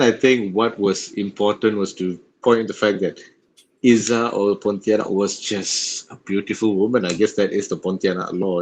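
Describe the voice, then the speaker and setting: A man with a low, deep voice chats casually as an interview guest. He speaks as a voice actor explaining his work in an informal, conversational way. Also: a little hiss or hum, low-quality recording.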